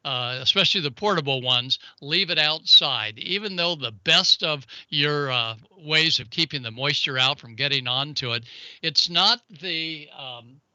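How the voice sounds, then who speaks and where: Guy doing a folksy radio hobby explainer, really bright forceful voice, slightly high, dry sound. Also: measured pace, no room sound, muffled recording. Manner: folksy, playful asides and exclamations. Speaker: amateur radio show segment presenter. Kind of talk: informal technical explainer over pictures.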